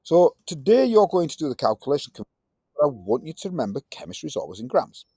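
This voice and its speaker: A man in his fifties with a Yorkshire accent, teaching a science lesson in a chatty, lively manner with British slang. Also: on the high side, fast talker, breathy edge, no room sound, studio quality. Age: 50s. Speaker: tutor. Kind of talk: recorded tutoring lesson.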